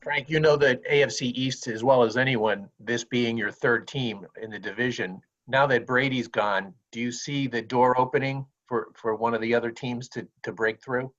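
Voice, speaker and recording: A man's voice: medium pitch, steady tone, normal speed, few pauses, a bit bright. Dull-sounding male reporter on a call. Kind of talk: press conference questioning.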